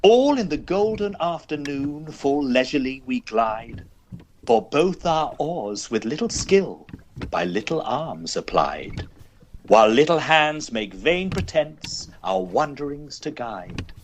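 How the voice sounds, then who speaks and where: A man reciting verse in a theatrical, measured way, as an expressive poetry reader. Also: mid-range voice, slight background noise.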